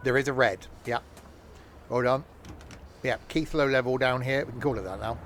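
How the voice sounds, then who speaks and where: A man's voice: mid-range voice, normal speed, background noise. Jokey man rambling through gameplay. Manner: rambling, jokey, chats with live viewers; frequent ums and self-corrections. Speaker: streamer. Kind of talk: casual gameplay commentary.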